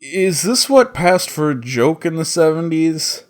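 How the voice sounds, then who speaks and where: A man's voice: on the high side, sing-song, unhurried. A man narrating a scripted comedic cartoon review as the host, with a sarcastic, profane delivery.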